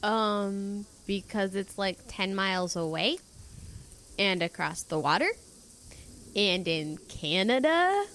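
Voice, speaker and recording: A woman's voice: medium pitch, lively intonation, takes it slowly, a bit bright. A young female performer playing a sensible, questioning teenage girl who looks after her friends in a radio drama. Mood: doubtful. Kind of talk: youth radio drama acting. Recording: noticeable background noise.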